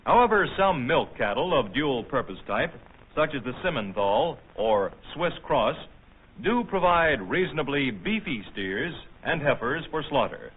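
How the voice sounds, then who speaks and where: An American man reading formal, measured, scripted narration in the manner of a 1950s documentary newsreel. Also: medium pitch, sing-song, breathy edge. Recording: tinny band-limited old film soundtrack.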